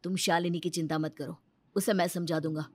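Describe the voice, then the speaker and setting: A woman's voice: on the low side, fairly even intonation, fairly quick, dry recording, voice pushed down, close to an everyday voice. Woman acting a protective, emphatic older brother. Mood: reassuring. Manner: emphatic, reassuring. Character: protective, indignant adult brother, caring and firm.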